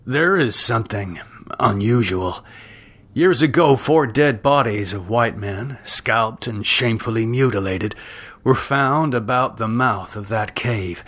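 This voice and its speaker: Man acting a gruff, commanding Western captain. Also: medium pitch, unhurried, fairly bright tone. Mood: firm, grave. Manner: firm, authoritative. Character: commanding, gruff captain of an Old West party.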